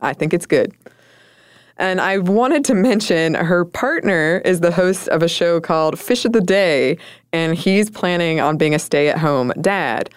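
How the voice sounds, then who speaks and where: A woman co-hosting a conversational podcast, talking through the news with a chatty, thoughtful manner and frequent laughs. Her voice is smooth and resonant and sits a little low. The sound is close and dry with no room at all. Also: animated, unhurried, dry recording. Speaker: podcast co-host.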